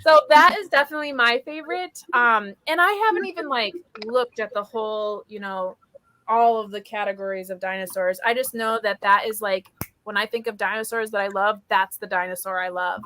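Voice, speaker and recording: Female host for an informal book-club live chat, clearly bright tone. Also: mid-range voice, fairly quick. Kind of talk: casual group chat.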